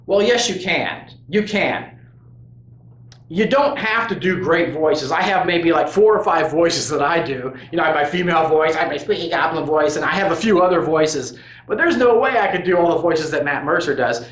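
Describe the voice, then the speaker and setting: Man, channel host, casual monologue, slightly high voice, lots of restarts, echoey room. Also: animated, moderate pace, a bit bright. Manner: rambling, earnest, with many restarts and "you know". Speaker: host of a tabletop gaming crafts channel. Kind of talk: casual opinion monologue to camera.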